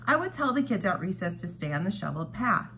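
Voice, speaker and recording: A woman's voice: medium pitch, sing-song, fast talker, bright, forceful tone. A woman hosting a devotional read-along, reading prepared reflections aloud with casual asides, recorded in a dining room at home. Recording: home recording with clear background noise.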